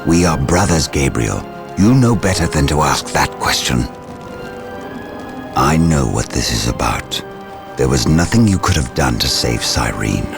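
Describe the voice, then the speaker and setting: An actor playing a proud, impatient veteran space marine officer in a game cutscene, formal and challenging. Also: male voice, very deep voice, expressive, unhurried, gravelly, heavily acted. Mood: firm, reproachful. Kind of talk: video game cutscene voice acting. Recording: dramatic music behind.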